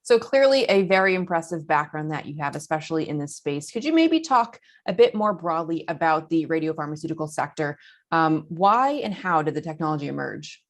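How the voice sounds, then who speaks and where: A woman with a very low-pitched voice hosts an interview. She moderates politely, with prepared questions and orderly handovers to guests. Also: expressive, fairly quick. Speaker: interviewer and host.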